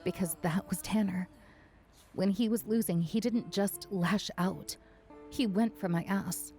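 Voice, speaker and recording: A woman's voice: medium pitch, measured pace, mellow, faint music behind, close to an everyday voice. A woman playing a weary, self-conscious but defiant young woman in a first-person audio drama. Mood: wounded, confessional.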